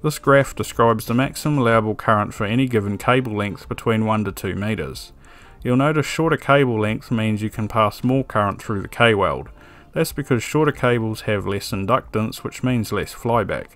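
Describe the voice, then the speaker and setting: A man giving a calm, methodical scripted voice-over for an electronics build tutorial, with a dry sense of humor. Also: lowish voice, normal speed. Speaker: electronics DIY video maker. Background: soft background music.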